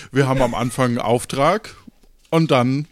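A man casually explaining things as a podcast host in a relaxed behind-the-scenes chat. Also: mid-range voice, unhurried, no echo. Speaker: podcast host and game creator.

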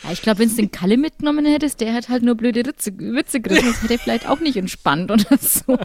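A woman's voice: on the high side, lively intonation, fast talker. A woman co-hosting a casual chat, speaking in a colloquial, emphatic way. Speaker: podcast co-host.

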